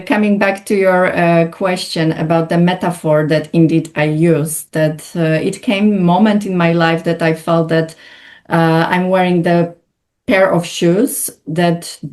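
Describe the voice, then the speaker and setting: Interview guest: woman, low voice, hesitant, filler-heavy. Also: fairly even intonation, a little slow, darkish tone. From Poland. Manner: hesitant, many filler sounds. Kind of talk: conversational interview.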